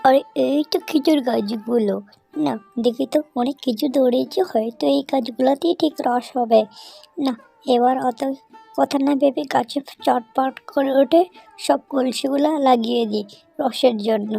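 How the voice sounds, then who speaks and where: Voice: high-pitched, normal speed, gravelly, mellow, slightly rough, faint music behind, full character voice. A performer voicing a hungry, scheming village husband animal in a cartoon, exclaiming and muttering to himself. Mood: eager, resolute. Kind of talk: Bengali cartoon voice acting.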